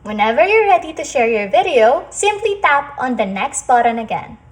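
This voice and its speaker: High-pitched woman narrating a lively tutorial. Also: normal speed. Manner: instructional, step-by-step. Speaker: tutorial host.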